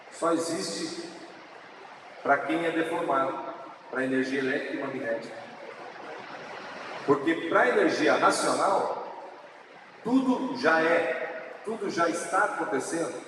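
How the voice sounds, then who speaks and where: A man's voice: a little high, lively intonation. A man with a São Paulo countryside accent, giving an earnest, preaching public speech at an assembly event. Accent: São Paulo countryside Brazilian Portuguese. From interior of São Paulo state, Brazil. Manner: earnest, preaching, slow with drawn-out emphatic words. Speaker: speaker at a legislative assembly event. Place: large assembly hall. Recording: echoey hall, distant mic, noisy.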